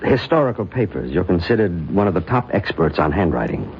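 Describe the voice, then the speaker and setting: A man's voice: low-pitched, big pitch swings, brisk, creaky, exaggerated voice. An actor playing a skeptical, insistent doctor in an old-time radio drama, a man who questions firmly and pointedly. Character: skeptical, probing male doctor, insistent and analytical. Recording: muffled, hissy old radio recording.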